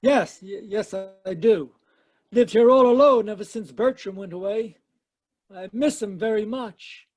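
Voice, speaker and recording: A man's voice: high voice, measured pace, darkish tone. An actor playing a timid, stammering old eccentric father in an amateur radio drama over a video call. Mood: nervous. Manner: stammering, hesitant.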